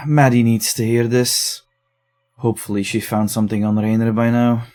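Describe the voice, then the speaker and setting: An actor playing a puzzled, frustrated male case researcher, haltingly thinking aloud in an audio drama monologue. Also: mid-range voice, level tone, darkish tone, natural voice.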